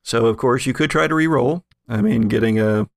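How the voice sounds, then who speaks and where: A man working as game master, narrating a tabletop horror game in a deliberate storyteller's way mixed with casual chat. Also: medium pitch, dry recording, studio quality.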